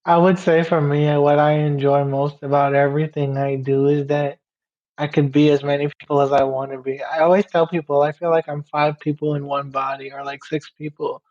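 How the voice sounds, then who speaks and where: Young male guest from Guyana; pitched a bit high, laid-back run-on delivery, muffled mic. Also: fairly quick. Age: young adult. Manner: relaxed, laughs easily, talks in loose run-on phrases. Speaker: podcast guest. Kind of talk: casual interview chat. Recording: somewhat dull, muffled sound.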